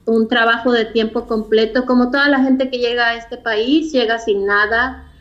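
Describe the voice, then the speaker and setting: A Mexican woman with a fairly high voice recounts her own path as an interview guest, pausing and searching for words as she reflects. The recording sounds muffled and lo-fi. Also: fairly even intonation, a bit bright. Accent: Mexican Spanish. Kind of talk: personal storytelling in an interview. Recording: dull lo-fi recording.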